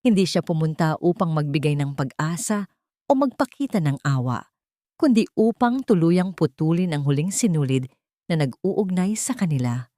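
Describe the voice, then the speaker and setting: A woman reading a scripted dramatic audio story as narrator, in a measured, emotive, literary way. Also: sits low, lively intonation, mellow, no echo, clean studio sound.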